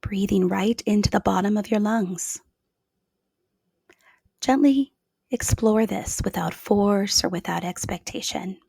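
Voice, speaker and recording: A woman leading a guided meditation in a slow, soothing, soft-spoken way with gentle pauses. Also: mid-range voice, gravelly, breathy edge, no room sound, studio quality. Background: new-age music.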